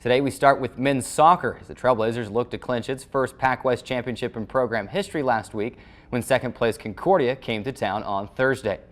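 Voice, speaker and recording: A man's voice: mid-range voice, fairly quick. A man hosting a sports show, reading a scripted recap in formal broadcast phrasing.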